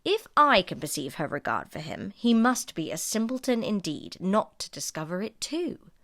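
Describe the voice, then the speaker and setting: A woman's voice: medium pitch, very animated, normal speed, fairly bright tone, no room sound, clean studio sound. Woman reading as spirited, wry young heroine. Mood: protesting. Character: Elizabeth, spirited, principled young woman defending her sister. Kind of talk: audiobook character dialogue.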